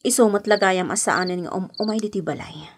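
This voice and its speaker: Radio drama role: actress playing worried, trusting young sweetheart, Ilocano accent, mid-pitched voice on a noisy old recording. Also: female voice, a little fast, lightly acted. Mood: troubled. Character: troubled, trusting young woman worried about her boyfriend, Meli. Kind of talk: Philippine radio drama acting.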